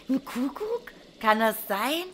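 A woman playing a dreamy, smitten angel in a German radio play, talking haltingly. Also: sits high, vocal fry, pushed and bright, slight background noise, exaggerated voice.

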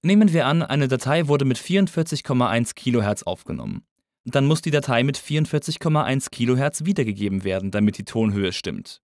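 A man reading a scripted tutorial as an instructor, in a measured, didactic manner. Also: mid-range voice, dry recording, studio quality. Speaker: audio engineering instructor. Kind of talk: scripted lesson narration.

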